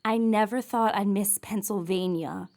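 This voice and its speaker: An actress with an American accent playing a practical, wry high school girl who speaks casually. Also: measured pace, a little mellow, natural voice. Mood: wry, weary.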